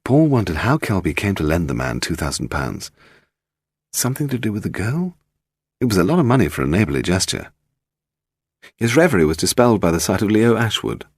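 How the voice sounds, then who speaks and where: A man's voice: low voice, big pitch swings, brisk, breathy edge, no echo, studio quality. A man with an English accent reading a scripted mystery story as an audiobook narrator.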